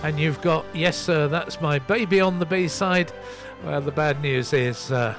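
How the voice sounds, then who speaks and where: A man's voice: fairly high pitch, unhurried. A man hosting a casual soul music radio show, chatty and self-mocking as he reads out record details. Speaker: radio host and DJ.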